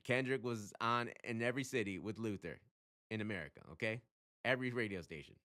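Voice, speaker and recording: A man hosting his own channel, talking casually and emphatically as he explains things, often throwing in rhetorical questions and quick check-ins. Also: on the low side, sharp tone. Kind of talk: casual explainer talk to camera.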